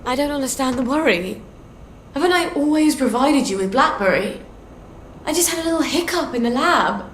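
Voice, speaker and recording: A woman with a British accent playing a brisk, defiant young chemist in a video game, glib and self-justifying. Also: high-pitched, animated. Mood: defensive. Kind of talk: video game character acting. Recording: roomy echo with background noise.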